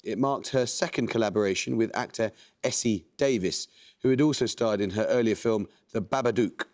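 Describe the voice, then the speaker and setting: A man hosting a quiz show, reading questions and introducing teams in a measured, precise way. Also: fairly low pitch, a little mellow. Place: TV studio.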